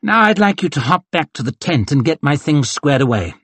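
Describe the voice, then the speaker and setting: Colonnello arrogante e beffardo, fatto da un uomo, voce un po' roca, acuta e teatrale. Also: male voice, on the high side, big pitch swings, a little fast, fairly bright tone, breathy edge, no room sound, voice pushed up, heavily acted. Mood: haughty. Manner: sneering, theatrical, cutting. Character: arrogant, mocking male cavalry colonel.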